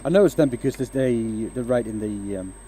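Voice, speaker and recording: A man's voice: mid-range voice, expressive, brisk, dark tone, a little hiss or hum. A man from Denmark, by birth, hosting a woodturning livestream and chatting loosely with viewers as he works, with frequent fillers and restarts. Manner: chatty, hesitant, talks to viewers while working. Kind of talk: casual livestream chat while working. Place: home workshop with a lathe.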